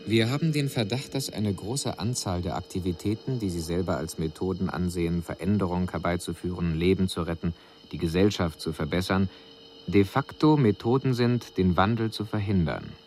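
An actor playing a male alien emissary who reports on humans in a measured, formal, analytical way in a radio drama. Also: low voice, a little mellow, a little hiss or hum, natural voice. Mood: sober, analytical.

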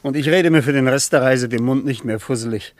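An actor playing an impatient, unyielding traveller in a radio play, brisk and matter-of-fact. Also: male voice, mid-range voice, dry recording, close to an everyday voice. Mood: irritated. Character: unyielding, impatient male West German traveller.

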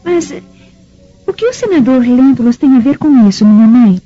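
A naive, lovesick young Roman daughter in a radio drama. Her voice is high and breathy with a very soft tone and a plaintive delivery, over faint music. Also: lively intonation, moderate pace, lo-fi sound. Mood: surprised, questioning.

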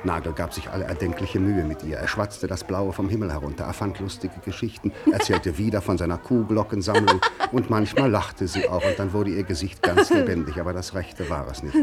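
A man's voice: deep voice, big pitch swings, fairly quick, hardly any pauses. A man playing the observant, wry narrator of a 1950s literary radio drama, reading scripted prose. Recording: 1950s radio recording with loud music.

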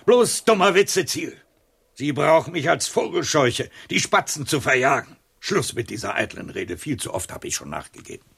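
An actor in a radio drama playing a proud, bitter man over seventy with a theatrical, world-weary delivery and a gravelly voice. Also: fairly high pitch, big pitch swings, moderate pace, a bit bright, exaggerated voice. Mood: bitter, defiant.

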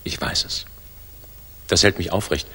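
An actor playing a weary, devoted German fighter pilot in a 1960s radio drama, with a husky, breathy voice. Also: male voice, a little slow, sharp tone, whispered. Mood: tired, tender.

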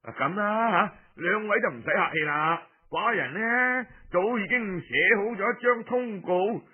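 Guy storyteller doing a pushy old-time chinese duke, high voice, slow and full of himself, very formal. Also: breathy edge, narrow, tinny audio. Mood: brusque, presumptuous. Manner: pompous, formal, presumptuous. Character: self-important, pushy ancient Chinese duke, male ruler.

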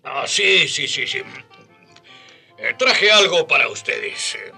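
Raspy, forceful Spanish colonist father. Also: fairly high pitch, very animated, slow, soft background music, heavily acted. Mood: proud, generous. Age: middle-aged. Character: boastful, money-minded Spanish father back from the colonies. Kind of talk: radio drama acting.

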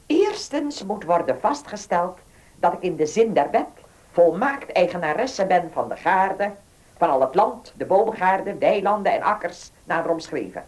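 A woman's voice: on the low side, animated, moderate pace, a little mellow, lightly acted. Imperious old landed lady played by an actress, swooping. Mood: imperious, businesslike. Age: older. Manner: commanding, formal. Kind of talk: Dutch radio drama acting.

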